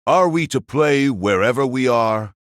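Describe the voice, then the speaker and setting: A man voicing a brash, intense young anime fighter in an audio drama, with a strained, raspy voice and drawn-out dramatic delivery. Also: mid-range voice, expressive, a bit bright, no room sound, studio quality, pitched below the performer's own voice. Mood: taunting.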